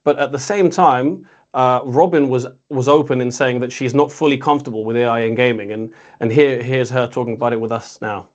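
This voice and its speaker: A man's voice: medium pitch, steady tone, a little fast, highs cut off. Man co-hosting with hesitant, rambling phrasing. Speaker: co-host reporting on an industry conference. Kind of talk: casual discussion recap.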